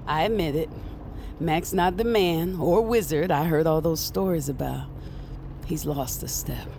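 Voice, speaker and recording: A woman's voice: low-pitched, a little slow, vocal fry, dark tone, slight background noise. An actress playing a blunt, protective witch mentor in an audio drama, with a dry, earnest manner. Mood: frank, uneasy.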